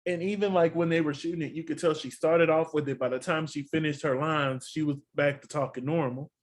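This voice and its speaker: A man's voice: fairly high pitch, fairly even intonation, brisk. A man with a Texan accent co-hosting a casual TV recap chat, with sassy asides. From Texas. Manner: chatty, sassy asides like "honey". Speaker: podcast co-host.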